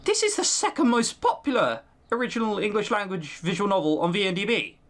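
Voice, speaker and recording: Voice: mid-range voice, sing-song, fairly quick. A game commentator narrating a visual novel playthrough and commenting on it. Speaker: let's player reading a visual novel aloud.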